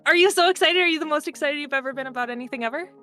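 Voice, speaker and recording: A woman's voice: high-pitched, racing, bright, forceful tone, soft background music, pitched above the performer's own voice. A woman playing a perky, overeager young female intern with a gushing, earnest manner, in improvised tabletop roleplay. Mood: eager, bubbly. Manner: gushing, effusive.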